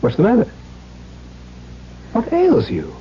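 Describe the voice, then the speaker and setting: Lively, hearty bachelor cousin, old radio. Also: male voice, high-pitched, slow, full character voice. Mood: jovial, concerned. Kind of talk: 1970s radio drama acting. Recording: dull vintage radio broadcast, faint hum.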